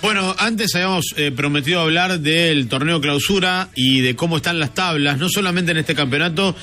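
Man, Argentine accent, sports columnist, somewhat high bright voice, radio report, faint music behind. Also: moderate pace.

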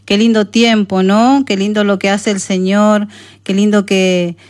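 A woman's voice: medium pitch, level tone, a little slow, darkish tone, dry recording, studio quality. A woman hosting a devotional radio programme, speaking in a devout, encouraging way and often checking in with short tag questions. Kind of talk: devotional radio talk with listener messages and prayer. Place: radio studio.

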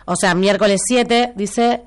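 A woman's voice: mid-range voice, fairly even intonation, slow. A woman with an Argentine accent hosting a local radio show, chatty and teasing, with a stuffy nose from a cold. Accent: Rioplatense Argentine Spanish. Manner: chatty, teasing, repeats information for listeners. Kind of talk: casual live radio chat with listener messages.

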